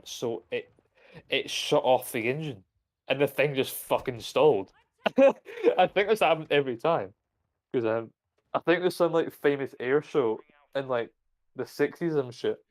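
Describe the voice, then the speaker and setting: A young man streaming a game, rambling in casual chat with frequent swearing and restarts. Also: mid-range voice. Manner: rambling, profane, full of restarts.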